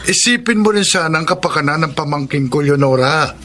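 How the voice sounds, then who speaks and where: An actor playing a stern, reproachful elder brother and concerned uncle in a Filipino radio drama, with a Filipino accent. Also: male voice, fairly high pitch, animated, a little fast, bright, forceful tone.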